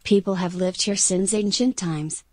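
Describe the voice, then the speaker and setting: A computer-generated woman's voice reading short practice sentences, even and measured. Her pitch is mid, and the sound is close and dry, with no room around it. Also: dry recording. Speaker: app voice reading example sentences.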